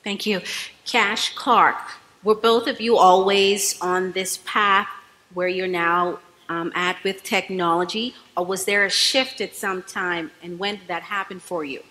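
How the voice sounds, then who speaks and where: A woman's voice: medium pitch, fairly even intonation, a little slow, sharp tone. A Bahamian woman moderating a conference panel, encouraging speakers and passing the floor. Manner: encouraging, affirming with short responses. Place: large conference hall stage. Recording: hall reverb, faint audience.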